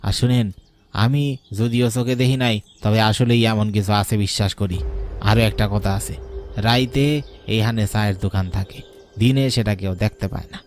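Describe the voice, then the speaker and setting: A man's voice: on the low side, steady tone, moderate pace, slight background noise, natural voice. Man acting a superstitious villager, rural Bengali accent. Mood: cautioning. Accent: rural colloquial Bengali. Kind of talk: Bengali horror cartoon acting.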